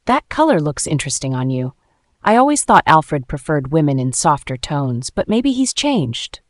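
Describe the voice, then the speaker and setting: A woman's voice: low-pitched, sing-song, normal speed, no room sound. A woman voicing a dramatic, self-absorbed middle-aged mother-in-law with a falsely sweet, boastful manner in a narrated story. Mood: falsely sweet, barbed. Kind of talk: acted quotes in a narrated story.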